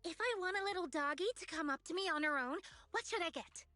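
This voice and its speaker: Super high bubbly researcher girl from a game, really animated and eager, monster nerd. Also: quick pace, no echo, heavily acted. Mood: playful, earnest. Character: bubbly, excitable young woman researcher obsessed with monsters. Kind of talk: video game voice acting.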